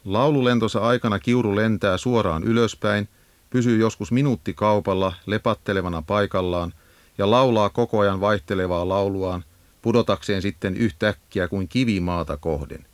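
Guy reading a nature guide script, kinda low voice, measured n instructive, really dry sound. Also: no echo. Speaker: narrator of a birdsong guide. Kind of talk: scripted educational narration. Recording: 1980s audio cassette narration.

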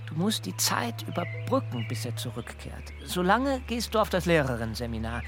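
An actor playing a thoughtful, persuasive male mentor in a radio drama, advising in deliberate, measured phrases. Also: a little high, sing-song. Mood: grave, urgent. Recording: faint music behind the voice. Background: faint music.

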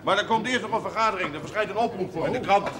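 An actor playing an excitable village man in a Dutch period TV drama, talking in a colloquial, animated way. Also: sits high, rapid-fire, natural voice. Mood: eager, gossipy. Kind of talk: 1970s Dutch television period drama acting. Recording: TV soundtrack amid loud crowd murmur.

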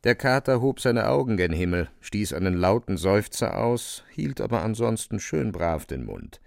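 Male narrator for literary radio drama: measured, dramatic, low register with fry, recorded dry. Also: very animated, no room sound. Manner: measured, deliberate storytelling.

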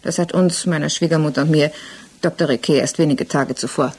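A woman's voice: deep voice, fairly quick, a little mellow, husky, no echo. An actress playing a self-possessed widow under questioning in a 1960s German radio play, composed, direct and defiantly devoted to her lover. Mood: self-possessed, unapologetic.

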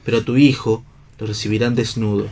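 Solemn, declamatory idealist hero. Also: male voice, fairly low pitch, flat delivery, a little fast, a little mellow. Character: earnest idealistic man, solemn philosophical stage hero. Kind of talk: theatrical radio play reading.

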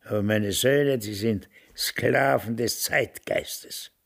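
An actor in a radio drama playing a cantankerous, fatalistic old father with a raspy, creaky voice. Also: lowish voice, takes it slowly, a bit bright, heavily acted. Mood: bitter, fatalistic. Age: older. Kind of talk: German radio drama acting.

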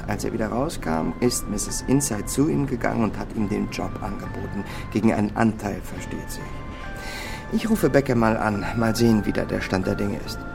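An actor playing a casual, persuasive heist partner with an offhand, colloquial way of talking in a crime radio drama. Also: male voice, fairly low pitch, gravelly. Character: casual male heist partner pitching a job.

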